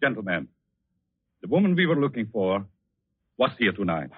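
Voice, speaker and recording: An actor in a 1940s radio drama playing an intense, vengeful artist with a commanding, dramatic delivery. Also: male voice, on the low side, big pitch swings, moderate pace, slightly rough. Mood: grim resolve. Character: intense, vengeful French artist bent on killing. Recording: tinny old radio recording.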